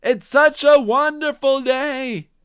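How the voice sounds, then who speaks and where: A man voicing a chirpy, pestering lemur from a storybook in an over-eager, drawn-out singsong. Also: extremely high pitch, a bit bright, no room sound, clean studio sound, pitched above the performer's own voice, heavily acted. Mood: chirpy, insistent.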